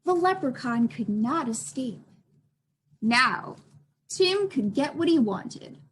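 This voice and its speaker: Woman children's storytime reader, high voice, very lively intonation, expressive and child-directed, faint background noise. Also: slow pace. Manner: addresses young listeners with questions and expressive delivery. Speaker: children's librarian reading a picture book aloud. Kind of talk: children's storytime read-aloud.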